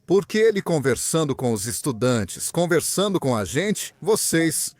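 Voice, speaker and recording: A young man from Venezuela, a guest in an interview, telling his story in an earnest, animated way. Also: fairly high pitch, measured pace. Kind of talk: interview answers, personal story.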